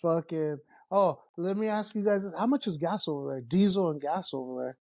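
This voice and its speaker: A man's voice: fairly high pitch, a little fast. A man from Mexico, a podcast guest, talking casually with many fillers and curse words. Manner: relaxed, swearing, lots of fillers. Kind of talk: casual chat.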